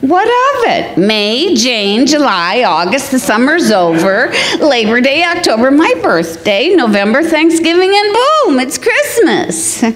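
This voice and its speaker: A woman's voice: high-pitched, very animated, measured pace, hardly any pauses. A woman playing a scatterbrained, cheerfully muddled wife in old-time radio comedy, with earnest, mixed-up reasoning. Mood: eager, muddled.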